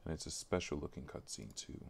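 Low, gravelly man muttering to himself. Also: lively intonation, brisk. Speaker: livestreamer. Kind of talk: casual think-aloud while working on game files. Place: indoors at a computer, keyboard clicks behind. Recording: faint background noise, keyboard clicking.

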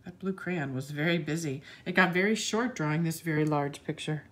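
A woman reading a picture book aloud to young children, speaking to them directly in an expressive storytelling manner. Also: deep voice, fairly quick.

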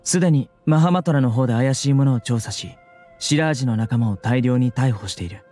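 A man acts a stern enforcer of the law in an anime game. His voice is mid-pitched and dark, composed and formal, with quiet music underneath. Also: fairly quick, close to an everyday voice. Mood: stern, calm. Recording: soft music behind.

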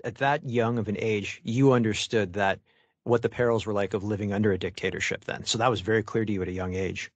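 A man hosting a media podcast, reading a scripted introduction and asking interview questions in a measured, clear voice. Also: on the low side, steady tone, no echo, lo-fi sound. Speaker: podcast host.

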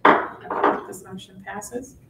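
A woman chairing a school board meeting, brisk and procedural. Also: heavy background noise. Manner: brisk, procedural, laughs off slips. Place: large meeting room with long tables. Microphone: table mic from far off. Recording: echoey boardroom from far off.

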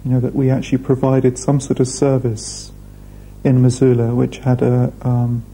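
A man, speaking thoughtfully in a documentary interview with measured pauses. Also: mid-range voice, completely flat delivery, mellow, a little hiss or hum, poor audio. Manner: measured, thoughtful, hesitant fillers. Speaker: restaurant founder. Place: indoor room.

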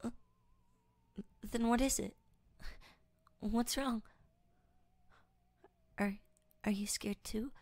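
A young woman voicing a timid, easily scared girl in an audio roleplay, speaking softly and hesitantly, stammering and often whispering. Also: fairly high pitch, fairly even intonation, very slow, long pauses, no echo. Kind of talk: audio roleplay addressed to the listener.

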